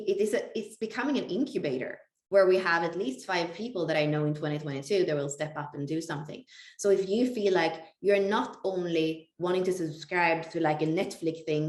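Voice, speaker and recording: A woman co-hosting a live webinar, talking casually and reflectively, with a roomy echo around her voice. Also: lowish voice. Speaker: webinar co-host. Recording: roomy, echoing sound.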